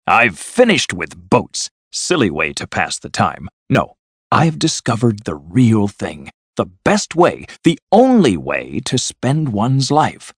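A man voicing a boastful, excitable, rich toad from a storybook, gushing and exclaiming as he talks on. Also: on the low side, slow pace, slightly rough, dry recording, exaggerated voice. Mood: impatient, enthusiastic. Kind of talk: storybook character voice.

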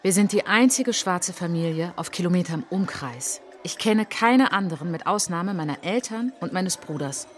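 An actress playing a reflective French woman traveller in a radio play monologue, speaking in a measured, intimate, literary way. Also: fairly low pitch, lively intonation, faint music behind, lightly acted. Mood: lonely, hurt.

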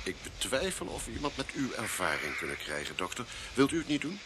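A man's voice: mid-range voice, wildly swooping pitch, fairly quick. Male police inspector for radio play: brusque, sceptical, very varied intonation, noisy recording. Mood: official. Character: impatient, sarcastic male police inspector in charge. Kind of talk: Dutch radio drama acting.

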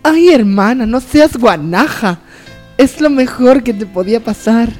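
A woman's voice: a little high, expressive, measured pace, a little mellow, soft background music. A woman playing a doting, bossy young girlfriend in an amateur radio soap opera. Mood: pleased, chatty.

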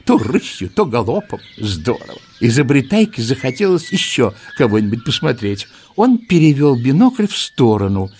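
A man narrating a children's audio play in an expressive storytelling style. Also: medium pitch, normal speed, few pauses, slight background noise. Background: music.

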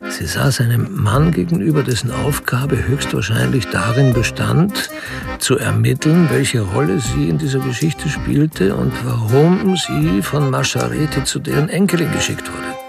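Radio play narrator, male, fry-edged voice, literary crime narration over clear music. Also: mid-range voice, animated, a little slow.

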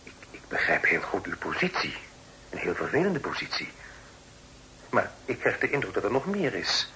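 An actor playing an earnest, cautious young gentleman in a period radio drama, speaking in a formal, measured way. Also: fairly low pitch, very animated, exaggerated voice. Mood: earnest, sympathetic. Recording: noisy old radio drama recording.

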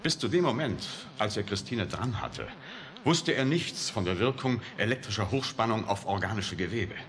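Cynical, animated scientist. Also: male voice, fairly low pitch, normal speed. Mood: bitter, sardonic. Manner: sarcastic, emphatic, blunt. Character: cynical, hot-headed male scientist, defiant and urgent. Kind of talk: science-fiction radio play acting. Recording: noisy vintage radio drama recording.

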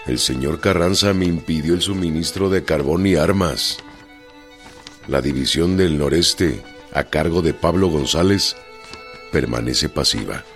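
A very deep male voice as a stern revolutionary general in a radio play. He speaks formally and declaims as if dictating a letter, with music playing underneath. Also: a little slow. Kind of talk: radio drama acting.

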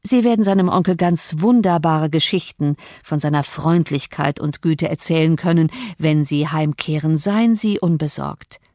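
A woman's voice: sits low, normal speed, few pauses, darkish tone, no room sound, lightly acted. A cruel, scornful tyrant of a man, as a woman reader puts him on in an audiobook, talking with a sneer and open menace. The sound is close and dry, with no room around it. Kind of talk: audiobook character voice.

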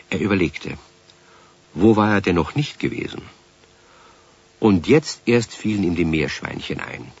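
A man acting as the calm narrator of a children's radio play, telling the story. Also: low-pitched, slightly rough, exaggerated voice. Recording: dull 1970s radio recording.

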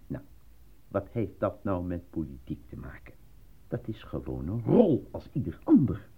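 A muffled, lo-fi radio play recording carries an eager and naive fellow with a husky voice. He stammers, gets flustered easily and leaps about in pitch with excitement. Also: unhurried, full character voice. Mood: persuasive. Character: eager, naive, easily flustered male actor cast as minister. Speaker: actor.

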